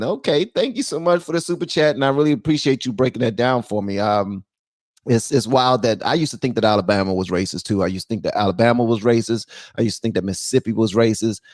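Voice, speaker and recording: A man's voice: mid-range voice, darkish tone, no echo, studio quality. A man hosting a live call-in stream, chatting casually and informally, addressing his guests like buddies. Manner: informal, calls guests brother, man, babe.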